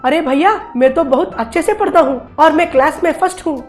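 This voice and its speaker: Clever schoolboy played by a woman, high voice, eager, self-assured, boastful, for a cartoon tale with soft music. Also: brisk, close to an everyday voice. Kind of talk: Hindi animated children's story dialogue.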